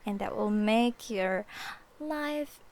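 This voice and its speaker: Slow, patient woman teacher. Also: mid-range voice, a little mellow. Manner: patient, repeats example phrases slowly. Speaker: language teacher. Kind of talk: slow explanatory language lesson.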